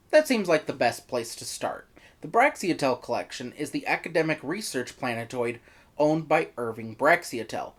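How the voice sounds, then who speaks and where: A reviewer narrating a scripted video review in an opinionated way, with wry, exasperated asides. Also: mid-range voice. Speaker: reviewer, host.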